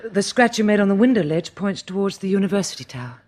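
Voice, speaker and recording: An actress playing a compassionate, observant young survivor in a radio drama, speaking earnestly and expressively. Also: female voice, mid-range voice, expressive, quick pace, a little mellow, a little hiss or hum, natural voice. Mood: curious.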